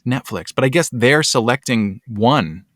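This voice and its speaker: A man hosting a podcast, talking casually with lots of ums and quick asides. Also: mid-range voice, lively intonation, fairly bright tone, no room sound, studio quality. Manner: chatty, many ums, rising asides. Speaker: podcast host. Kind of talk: casual chat.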